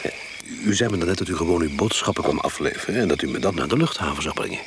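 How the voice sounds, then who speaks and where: A man's voice: deep voice, animated, fast talker. An actor in a radio play playing a courteous, uneasy male guest who stammers hesitantly and evasively. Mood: uneasy, reluctant. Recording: noisy radio play recording.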